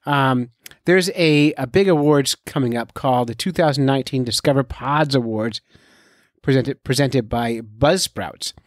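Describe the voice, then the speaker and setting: A man's voice: mid-range voice, unhurried, dry recording, clean studio sound. A man hosting a podcast in casual, unscripted talk, informally addressing and urging his listeners directly. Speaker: podcast host.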